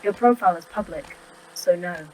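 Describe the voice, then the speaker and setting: An actress playing a literal, unflappable facility A.I. with clipped, factual, detached delivery in a sci-fi audio drama. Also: female voice, lowish voice, normal speed, warm tone, close to an everyday voice, poor audio.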